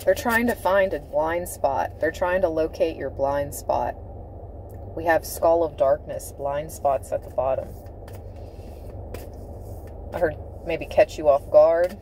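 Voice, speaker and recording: Slow, mellow-voiced woman reading tarot cards. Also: low-pitched, a little hiss or hum, low-quality recording. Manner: slow, dramatic, emphatic delivery with repeated phrases. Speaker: tarot reader. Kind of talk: tarot card reading to camera. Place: inside a parked car.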